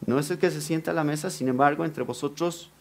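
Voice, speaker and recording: A man from Mexico with a Mexican Spanish accent, preaching a lively sermon and asking the congregation questions. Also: fairly high pitch, fast, creaky. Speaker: pastor.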